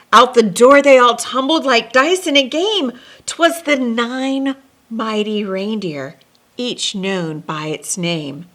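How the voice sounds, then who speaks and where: Slow-paced woman reading a children's storybook. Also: on the high side. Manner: expressive, dramatic pauses and emphasis. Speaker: storyteller and host. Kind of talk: children's picture-book read-along with asides.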